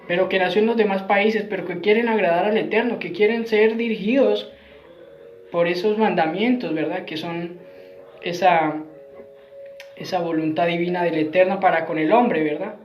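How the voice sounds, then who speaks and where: A man's voice: high voice, steady tone, normal speed, a little hiss or hum. A man giving an earnest Bible teaching sermon, repeating his phrases and often ending with tag questions. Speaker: Messianic preacher. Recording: roomy, dull lo-fi sound.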